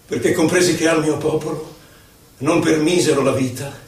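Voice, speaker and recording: A man's voice: a little high, steady tone, moderate pace, natural voice. A man playing an anguished, impassioned poet, reciting verse in a solemn, declamatory, theatrical way. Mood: grieving. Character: anguished male poet on an inward journey, impassioned. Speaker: stage actor. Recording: very echoey hall, distant microphone.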